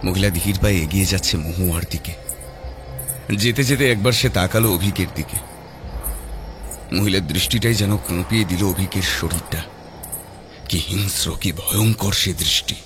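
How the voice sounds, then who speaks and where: A man narrating a scripted horror story dramatically, with suspenseful, measured phrasing. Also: sits low. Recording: loud music behind.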